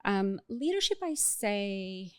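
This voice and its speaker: A middle-aged woman from Bulgaria, raised abroad, speaking thoughtfully and in a measured way as an interview guest. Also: mid-range voice, sing-song, clear, resonant tone, dry recording, clean studio sound. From Bulgaria, raised in Iraq and Cuba. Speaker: podcast guest, agency chief executive. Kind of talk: reflective interview conversation.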